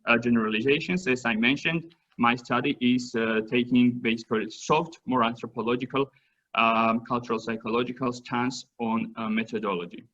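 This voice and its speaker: A man whose native language is Georgian gives an academic seminar talk in English, halting often with fillers and restarts. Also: mid-range voice, steady tone, fairly bright tone, highs cut off, a little hiss or hum. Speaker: seminar presenter. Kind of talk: academic presentation.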